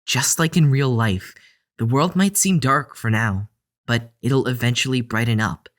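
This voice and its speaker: A man delivers a scripted podcast introduction as the show's creator. His voice is medium in pitch, and he speaks earnestly and deliberately, addressing listeners directly. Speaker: show creator and head writer.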